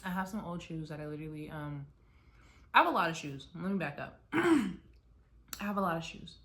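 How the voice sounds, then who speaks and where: Young American woman, lowish voice, casual vlogger who rambles and restarts a lot. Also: animated, brisk, fairly bright tone. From United States. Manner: chatty, rambling, many "like" fillers and restarts. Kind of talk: casual rambling chat to camera. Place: her apartment, mid-packing.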